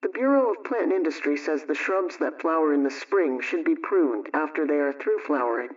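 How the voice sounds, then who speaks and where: A man's voice: a little high, lively intonation, moderate pace. A man presenting scripted household advice on radio, reading questions and answers through a dull, distant-sounding channel.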